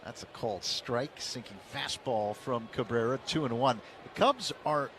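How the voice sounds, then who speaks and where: A man calling baseball play-by-play on the radio in a steady, measured way. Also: mid-range voice, slight background noise. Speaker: play-by-play announcer.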